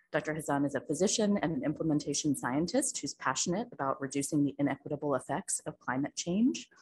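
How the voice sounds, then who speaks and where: A woman's voice: sits low, a little mellow, rough recording. A woman moderator reading a formal speaker introduction over a video call, with a few hesitant fillers. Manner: reads a bio with some hesitant fillers.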